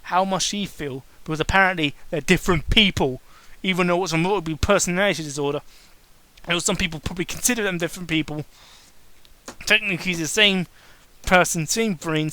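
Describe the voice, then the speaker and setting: Male amateur reader, bright edgy tone, fairly high voice; sardonic, fed up, swears freely between lines. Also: fairly quick, poor audio. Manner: exasperated, sarcastic, swears freely. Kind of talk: mocking dramatic reading with exasperated asides.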